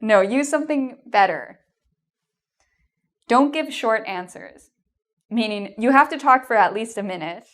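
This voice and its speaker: A woman's voice: a little high, animated, measured pace, pauses often, fairly bright tone. A woman with a Canadian accent giving an English exam lesson, encouraging and reassuring, with frequent "um" and "okay?" checks. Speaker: English teacher. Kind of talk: video lesson with tips.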